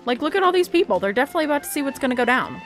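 A woman's voice: high-pitched, sing-song, rapid-fire, fairly bright tone. A young adult woman giving casual gameplay commentary as a gaming host. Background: game music.

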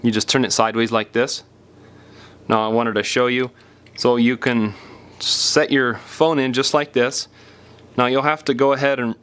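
A man's voice: mid-range voice, a bit bright, clear, resonant tone. Casual man giving a hands-on repair tutorial. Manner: plain-spoken, informal, explanatory. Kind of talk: casual step-by-step hands-on demonstration.

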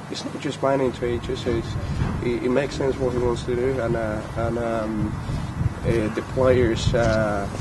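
Flat-voiced young footballer, halting speech. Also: male voice, mid-range voice, very noisy. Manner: halting, many fillers. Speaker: goalkeeper. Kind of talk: post-match press interview. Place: outdoor press area by a sponsor backdrop. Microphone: handheld recorders held close.

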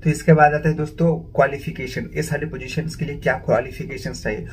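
A man's voice: fairly high pitch, steady tone, quick pace, slight background noise. A young man from India hosting a career vlog, speaking directly and upbeat to viewers while mixing in English phrases, in a small indoor room. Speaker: career channel host. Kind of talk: informational vlog to camera.

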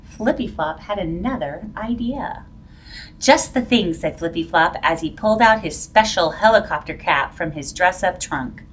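A middle-aged woman reading a children's picture book aloud in an expressive, dramatic, drawn-out way. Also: on the low side, a little hiss or hum. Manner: animated, drawn-out, dramatic delivery for young children. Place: plain-walled indoor room. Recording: voice picked up from a distance.

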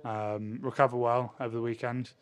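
Young guy, rugby player doing a post-match chat, pretty level pitch, measured, you know every few words. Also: little pitch movement. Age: 20s. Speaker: professional rugby player, winger. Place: indoors in front of a sponsor backdrop. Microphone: handheld interview microphone held at chest height.

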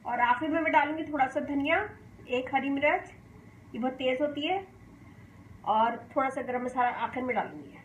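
A woman from Pakistan hosting a home cooking demonstration, calmly explaining each step and often inviting viewers to look. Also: high-pitched, normal speed, muffled recording. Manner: calm, homely explaining, often saying "dekhiye ji". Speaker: cooking channel host. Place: home kitchen, indoors. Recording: echoey kitchen with faint hum.

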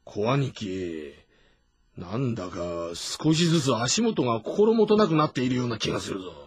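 An actor playing a gruff, impatient but loyal younger warrior in a historical game drama, blunt and rough in speech. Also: male voice, medium pitch, big pitch swings, normal speed, dry recording, studio quality. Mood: restless.

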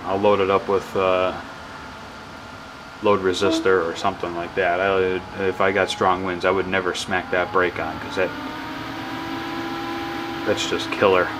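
A man casually explaining his wind turbine setup in a workshop, rambling and correcting himself with excitement. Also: low-pitched, a little slow, long pauses. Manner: enthusiastic, rambling, self-correcting. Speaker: hobbyist wind power vlogger. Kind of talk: casual off-the-cuff demo narration.

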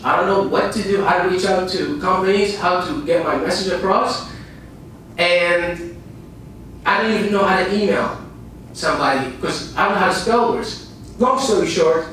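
High-voiced man lecturing in an echoey room. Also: fairly bright tone, slight background noise. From Iraq. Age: 30s. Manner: earnest, repeats lines for emphasis, speaks with pauses. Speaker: motivational speaker. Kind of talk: live seminar talk. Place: dim small room with a projector screen and a small audience. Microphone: far camera, no visible mic. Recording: echoey room recorded from far off.

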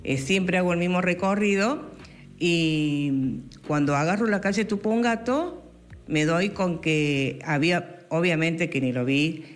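A woman in her forties with an Argentine accent, recounting her accident haltingly at home. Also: sits low. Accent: Argentine Spanish. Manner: hesitant, with fillers. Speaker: accident victim interviewed. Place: indoors at home.

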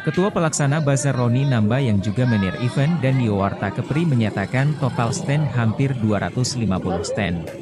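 A man narrating a local news report in a calm, formal reading voice, with an Indonesian accent. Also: mid-range voice, moderate pace. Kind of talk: scripted news voice-over.

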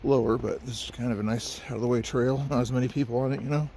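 Gravelly man rambling on a forest hike. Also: mid-range voice, steady tone, fast, mellow, slight background noise. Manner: chatty, easygoing, talks to himself and the camera. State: sniffly. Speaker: hiker vlogger. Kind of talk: casual rambling hike narration. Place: forest trail outdoors.

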